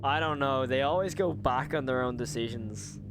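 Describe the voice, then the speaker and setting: A man's voice: medium pitch, normal speed, background noise. A man giving casual reaction commentary, informal and exclamatory, often repeating himself. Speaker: host of horror reaction channel.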